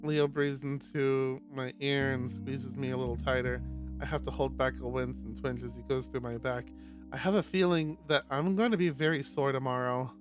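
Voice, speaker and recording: A man's voice: medium pitch, fairly even intonation, moderate pace. A man streaming a visual novel, reading first-person fiction aloud. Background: guitar music.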